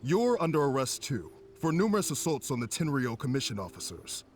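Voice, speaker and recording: A man's voice: medium pitch, very animated, fairly quick, a little hiss or hum, natural voice. An actor playing a stern male government officer in a video game, speaking in a formal, authoritative way.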